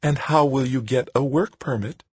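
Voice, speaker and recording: A man's voice: a little high, wildly swooping pitch, moderate pace, a little mellow, breathy edge, dry recording, pitched above the performer's own voice, exaggerated voice. A man voicing a falsely cheerful, pious middle-aged woman bureaucrat in an audiobook, with a condescending, sing-song schoolteacher manner. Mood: patronizing. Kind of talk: audiobook character dialogue.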